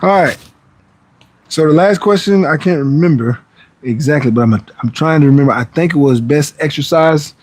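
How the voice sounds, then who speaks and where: A man's voice: a little high, a little mellow, no room sound. A man in his forties hosting a fitness livestream, casually chatting through viewer questions in a laid-back, rambling way. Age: 40s. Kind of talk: casual live-stream chat answering viewer comments.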